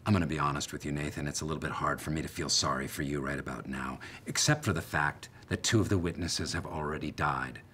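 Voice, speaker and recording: Man acting a deep-voiced, accusing TV interviewer. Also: animated, normal speed, a bit bright, a little hiss or hum. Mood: cold, menacing. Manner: sarcastic, dramatic, pointed. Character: confrontational male TV interviewer, theatrical and accusing. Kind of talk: dramatized TV news interview.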